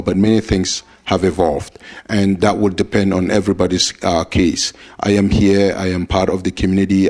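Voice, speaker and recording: A man from Cameroon in his forties, an immigration attorney answering interview questions in a measured way with frequent hesitations. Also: low-pitched. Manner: measured, steady, with frequent uh fillers.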